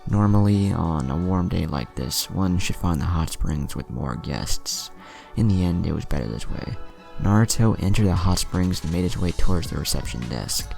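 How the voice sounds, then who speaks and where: Very deep, gravelly male narrator. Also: animated, normal speed, darkish tone. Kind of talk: scripted fan-fiction story narration. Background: music under the voice.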